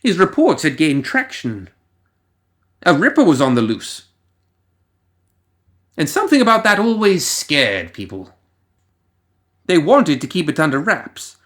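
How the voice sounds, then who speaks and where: A man reading a scripted story as narrator, in a theatrical, ominous manner with lingering pauses. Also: fairly high pitch, huge pitch swings, fairly bright tone.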